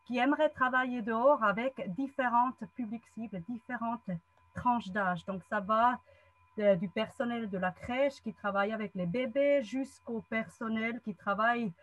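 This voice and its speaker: A woman in her forties with a Swiss German accent, a non-native speaker, giving a friendly online lecture from a wood-panelled room over a webcam, pausing often to find her words. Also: a little high, a bit bright, no echo, rough recording. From German-speaking Switzerland. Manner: friendly, explanatory, frequent hesitations. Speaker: guest speaker, psychologist and trainer. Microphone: webcam video call.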